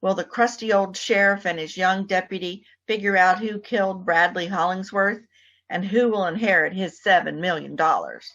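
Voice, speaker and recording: A woman's voice: lowish voice, normal speed, a bit bright. A woman reading a scripted introduction as a program announcer. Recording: slightly muffled, dull sound.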